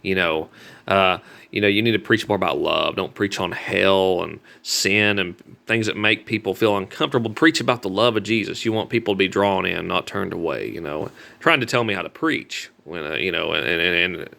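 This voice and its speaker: A man with a Southern American accent talking informally about his own experience in a halting, repetitive, earnest way with folksy grammar. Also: sits low, creaky, sharp tone. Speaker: street preacher. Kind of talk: informal personal vlog talk.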